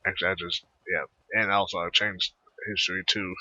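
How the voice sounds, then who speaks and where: A man doing casual video game commentary in a husky voice, halting and rambling as he goes. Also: deep voice, flat delivery, bright, forceful tone, no room sound, lo-fi sound. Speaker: gameplay commentator.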